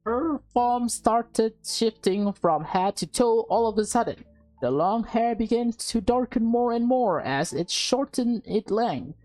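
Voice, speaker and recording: A woman's voice: fairly high pitch, expressive, a little slow, darkish tone, soft background music, poor audio. A woman reading a visual novel aloud as a let's play, switching between narration and casual commentary. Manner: reacts aloud in informal asides.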